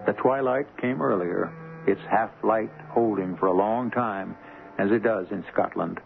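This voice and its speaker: Grave, gravelly radio mystery narrator. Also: male voice, fairly low pitch. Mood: grave, ominous. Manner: solemn, measured, portentous. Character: grave storyteller foretelling doom. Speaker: host and narrator of a radio drama. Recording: muffled, narrow old radio broadcast with music.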